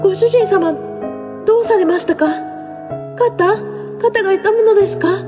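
A woman's voice: extremely high pitch, normal speed, music in the background. A woman playing a tiny anxious servant girl. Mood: worried. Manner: anxious, deferential. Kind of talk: voice drama acting.

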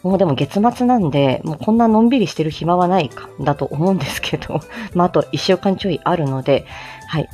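A woman with a low, soft-edged mellow voice hosts a casual livestream. She talks politely and chattily, with a giggly streak, over faint background music. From Japan, outside Tokyo. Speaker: livestream host, amateur voice drama performer. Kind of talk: casual morning livestream chat.

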